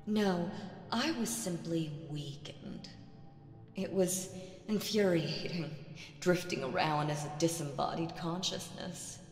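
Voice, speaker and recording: A woman playing a seductive, imperious demon queen in an audio roleplay, teasing and condescending, with drawn-out phrasing and breathy asides. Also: lowish voice. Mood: haughty. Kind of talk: slow roleplay audio drama monologue with whispers. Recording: echoey reverberant hall with music behind.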